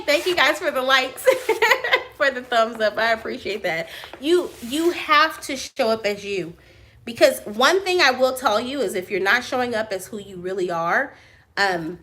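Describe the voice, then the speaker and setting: A small business owner answering viewer questions on a live stream, loud and animated, laughing often, with a high voice she compares to a cartoon mouse. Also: a little hiss or hum. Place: her print shop office.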